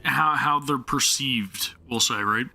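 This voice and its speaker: A man's voice: medium pitch, slow, gravelly, pushed and bright. A young man chatting through a live game stream, self-deprecating and often restarting his sentences. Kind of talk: live gameplay commentary.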